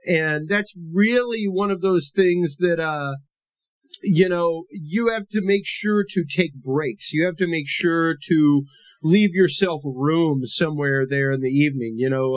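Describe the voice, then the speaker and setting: Male podcast host, big full voice a touch on the high side, dry close sound, easygoing explainer. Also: unhurried, no echo. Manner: conversational, loose and explanatory. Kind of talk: casual educational podcast monologue.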